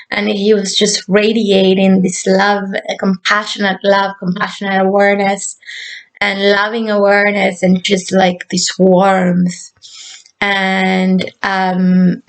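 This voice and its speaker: A woman from Argentina telling a personal spiritual story in an unscripted, meandering way, pausing often with fillers. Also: medium pitch, poor audio. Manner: slow, meandering, soft-spoken with many fillers.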